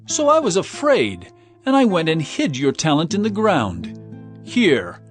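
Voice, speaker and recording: Male reader as humble, earnest servant, lively pitch swings, music underneath, scripture drama. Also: unhurried. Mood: fearful, defensive. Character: dutiful male servants reporting to their master.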